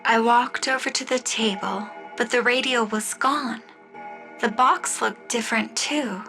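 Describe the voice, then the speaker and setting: Slow, high-voiced woman narrating over music. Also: pushed and bright. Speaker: radio drama narrator and announcer. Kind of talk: 1940s radio drama narration. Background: music score.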